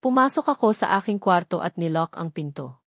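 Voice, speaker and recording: A woman's voice: lowish voice, expressive, fairly quick, a bit bright, no echo. Filipino woman narrating drama, dry close sound. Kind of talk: scripted dramatic story narration.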